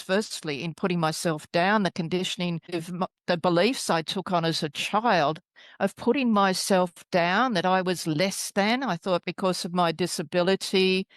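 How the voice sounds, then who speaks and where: Brief: middle-aged woman, lowish voice, soft and rambling, dry close sound. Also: dry recording. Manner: soft-spoken, searching for words, rambling. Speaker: healer guest. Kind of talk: reflective storytelling interview.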